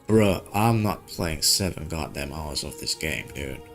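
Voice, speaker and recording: A man's voice: extremely low pitch, animated, unhurried, creaky, soft background music. A man talking casually as a game streamer, thinking aloud in informal, slangy language. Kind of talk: casual gaming stream chat.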